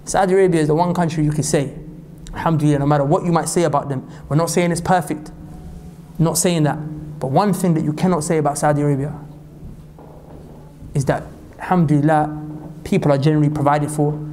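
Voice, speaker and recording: A man from Pakistan delivering an impassioned sermon as a preacher, reciting scripture quickly and climbing to high emphatic peaks. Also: steady tone, mellow, a little hiss or hum. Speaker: Islamic preacher.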